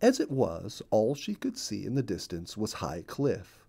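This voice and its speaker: Mellow, animated male storyteller. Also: lowish voice, a little slow, gravelly, husky. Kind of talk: children's story narration.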